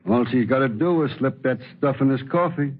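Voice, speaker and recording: An actor playing a hard, suspicious, bossy gangster in a 1940s radio crime drama, rough and slangy in speech. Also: male voice, medium pitch, a little fast, close to an everyday voice. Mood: menacing, impatient. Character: hard, suspicious, bossy male gangster pressing his accomplice. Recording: thin, phone-like old radio recording.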